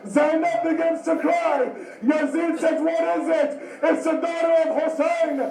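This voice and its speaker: In an echoey space, a man delivers a mourning sermon some way from the microphone. His anguished voice is pitched extremely high, with a creaky edge. He tells the tragedy dramatically, repeating phrases and acting out the dialogue. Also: steady tone, measured pace, crowd noise. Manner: emotional, dramatic, repetitive storytelling with acted-out dialogue. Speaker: preacher, religious lamentation reciter. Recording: echoey hall, distant microphone.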